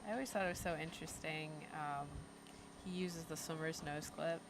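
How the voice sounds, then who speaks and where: A soft-spoken woman co-commentating a live freediving event, chatty and well informed. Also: deep voice, moderate pace. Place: outdoors at a seaside dive event. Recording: noisy outdoor live stream.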